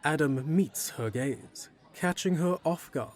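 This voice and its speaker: A man's voice: fairly high pitch, lively intonation, measured pace. A man reading scripted, descriptive narration for an audio drama.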